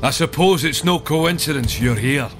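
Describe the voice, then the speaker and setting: An actor playing a seasoned dwarf scout in a video game, telling things in a dry, knowing, measured way. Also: male voice, on the high side, lightly acted. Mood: informative, knowing. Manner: wry, measured storytelling. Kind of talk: video game cutscene acting. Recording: music behind the voice.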